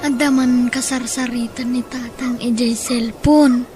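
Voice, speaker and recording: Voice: mid-range voice, fairly even intonation, measured pace, over music, close to an everyday voice. A performer playing a small, innocent, whiny child with an Ilocano accent in a radio drama. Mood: curious, innocent.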